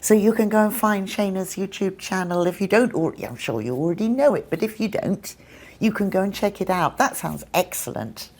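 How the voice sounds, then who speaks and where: A woman's voice: medium pitch, animated, a little fast, darkish tone. A woman hosting a live English-teaching show, explaining points with examples.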